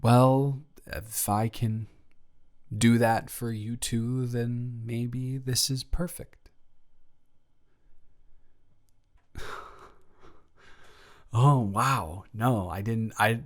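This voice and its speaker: A man's voice: medium pitch, fairly even intonation, drawn-out words, pauses often. A man playing a nervous, lovestruck young college dormmate in an intimate romantic audio drama, earnest and wordy, often stammering. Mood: moved, awed.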